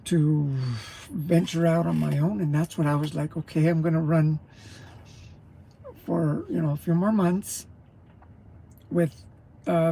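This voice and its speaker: A man's voice: a little high, mellow, poor audio. A man, a truck driver, rambling through a casual morning livestream and greeting viewers as he chews his breakfast. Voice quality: gravelly. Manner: chewing while talking.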